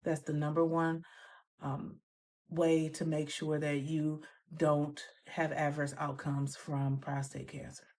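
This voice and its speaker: A woman's voice: low voice, flat delivery, slow pace, darkish tone. A woman nurse and care manager giving earnest health advice in a documentary interview. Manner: earnest, instructive.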